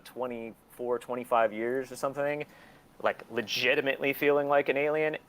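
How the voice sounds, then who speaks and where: A man's voice: mid-range voice, a bit bright. A man in his forties talking candidly and casually as an interview guest, blunt and opinionated, swearing often. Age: 40s. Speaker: interview guest, record label and museum owner.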